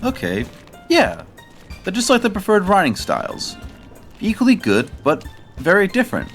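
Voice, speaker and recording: A man's voice: sits high, wildly swooping pitch, moderate pace, fairly bright tone, soft background music, full character voice. An actor playing a sweet, cheerful, slightly clueless young male club vice president, earnest and encouraging, in a visual novel audio drama. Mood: quiet, sincere.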